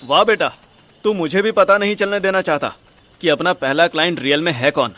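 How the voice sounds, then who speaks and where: Man acting high-voiced, brash, foolish young sidekick. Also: brisk, fairly bright tone, close to an everyday voice. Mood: cheeky. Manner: slangy, cheeky. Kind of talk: audio drama acting.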